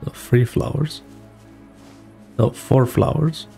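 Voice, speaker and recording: A man's voice: mid-range voice, fairly even intonation, slow, vocal fry, mellow, faint music behind. A young man streaming a game from a small room, reacting casually in a rambling, muttering, playfully exasperated way. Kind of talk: casual live gameplay reaction.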